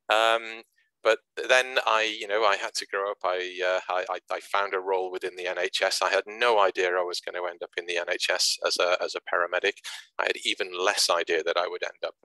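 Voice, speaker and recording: A man in his sixties from Wales speaks over a video call, his voice low and forceful. He talks haltingly, with plenty of fillers and repeated words. The sound is dry and close. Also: no room sound, rough recording. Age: 60s. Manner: hesitant, many restarts and fillers. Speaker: guest speaker. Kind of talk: presentation at an online branch meeting.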